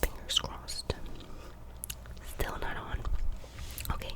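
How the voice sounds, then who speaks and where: A woman in her twenties from Colombia, whispering a casual ASMR chat and softly repeating trigger words. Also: takes it slowly. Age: 20s. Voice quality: breathy unvoiced whisper. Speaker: ASMR creator.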